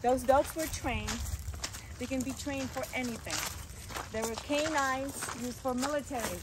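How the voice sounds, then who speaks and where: A woman's voice: sits high, measured pace. A middle-aged woman explaining things earnestly in a casual vlog, with non-native phrasing. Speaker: dog owner and vlogger. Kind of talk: casual vlog talk giving dog advice. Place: outdoors on a wooded hiking trail. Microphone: camera held by another person, a few steps away. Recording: noisy outdoor handheld camera audio.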